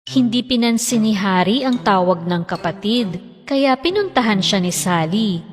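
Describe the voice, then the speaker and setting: A woman's voice: mid-range voice, in a large hall. A woman narrating a scripted children's fairy tale.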